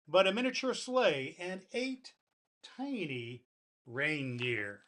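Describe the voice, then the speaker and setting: A man acting as a kindly, jolly old Father Christmas with a slightly high voice. He hosts for children in a folksy manner, leaning on his words like a storyteller with a picture book. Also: fairly bright tone, heavily acted. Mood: storytelling. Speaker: host of a Christmas story show. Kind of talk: addressing children and reading a storybook.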